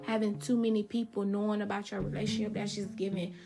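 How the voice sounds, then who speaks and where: A woman's voice: mid-range voice, level tone, fast, creaky, music in the background. A thirty-year-old woman hosting her own podcast, talking casually and emphatically and repeating her points for effect. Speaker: podcast host. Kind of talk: casual solo podcast talk.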